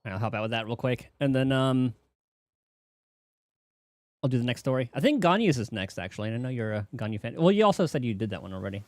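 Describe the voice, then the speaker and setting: A man's voice: mid-range voice, very animated, fast talker, long pauses. A man giving casual, offhand live commentary as he streams a game, chatty and informal.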